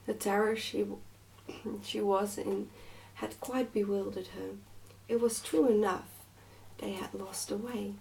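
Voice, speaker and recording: Creaky, mellow woman reading storybook ASMR. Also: mid-range voice, level tone, measured pace, long pauses, distant mic, rough recording. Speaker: reader, ASMR channel host.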